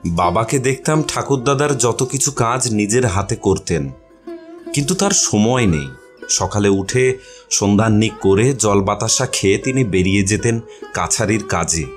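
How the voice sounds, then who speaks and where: A man's voice: fairly low pitch, lively intonation, unhurried, faint music behind. A man narrating a short story as a scripted audio reading.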